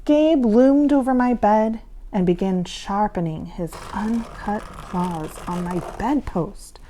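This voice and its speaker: A woman's voice: mid-range voice, slow, dark tone. A woman reading a children's storybook aloud as a storyteller, theatrical and suspenseful. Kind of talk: dramatic children's storybook narration.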